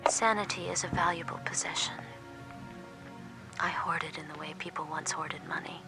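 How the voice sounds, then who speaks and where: A woman's voice: low voice, fairly even intonation, moderate pace, over music. An actress playing a weary, guarded captive handmaid, whispering her inner thoughts in an intimate audio drama monologue.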